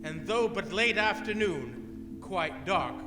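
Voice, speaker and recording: A man reading scripted radio play narration with a storyteller's measured phrasing. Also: high voice, animated. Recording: reverberant live hall, music behind.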